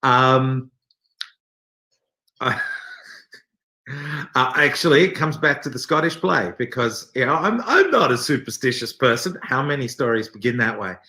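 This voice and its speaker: A man's voice: medium pitch, animated, unhurried, pauses often, bright, forceful tone. A man in his forties, an actor being interviewed, telling casual anecdotes in a jokey, self-deprecating way. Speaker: interview guest, theater actor. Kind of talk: animated anecdotal storytelling in casual chat. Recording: roomy, echoey sound.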